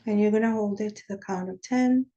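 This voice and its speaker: Calm woman guiding a shamanic journey. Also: medium pitch, highs cut off. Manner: calm, slow guiding instructions over a drum beat; chatty and candid when explaining, with soft laughs. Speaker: shamanic practice teacher. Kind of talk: guided shamanic journey meditation. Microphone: video call.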